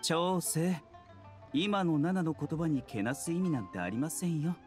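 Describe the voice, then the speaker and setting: A man playing a courteous, composed doctor in an anime game, speaking gently and formally. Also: fairly high pitch, a little slow, faint music behind, close to an everyday voice. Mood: calm. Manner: soft-spoken polite formal phrasing. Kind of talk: Japanese anime game voice acting.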